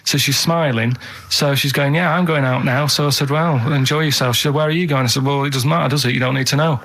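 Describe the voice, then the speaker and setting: Male radio co-host, thirties. Rapid, matter-of-fact grumbler telling casual anecdotes. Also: mid-range voice, fairly even intonation, a bit bright. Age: 30s.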